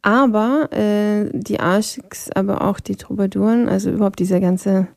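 A Vienna-born woman whose first language is Polish, a singer answering interview questions thoughtfully and pausing to search for words. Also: mid-range voice, fairly even intonation, gravelly, darkish tone, no room sound, clean studio sound. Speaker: singer guest. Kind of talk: interview conversation.

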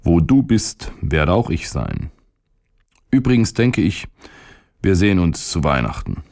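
A man voicing a talking stomach in a storybook reading, calm, patient and unhurried. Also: deep voice, no room sound, studio quality, heavily acted.

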